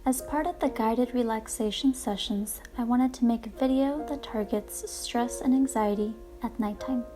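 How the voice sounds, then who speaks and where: A woman hosting a guided relaxation session, speaking in a calm, gentle, deliberate way with soothing narration. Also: fairly high pitch. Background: music playing behind the voice.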